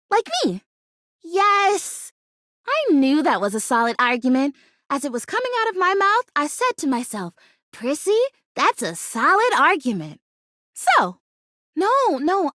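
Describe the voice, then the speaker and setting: A woman's voice: very high-pitched, a bit bright, no room sound, clean studio sound, exaggerated voice. A woman voicing a perky, stubbornly hopeful fake houseplant in a video game, chatty, dramatic and earnest. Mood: defensive, persuasive. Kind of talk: animated video game character acting.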